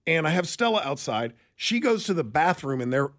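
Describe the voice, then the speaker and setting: An American man with a fairly high voice co-hosts a radio show. His pitch moves around animatedly as he banters casually. He tells anecdotes in a wry, rambling style. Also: big pitch swings, fairly quick, a bit bright.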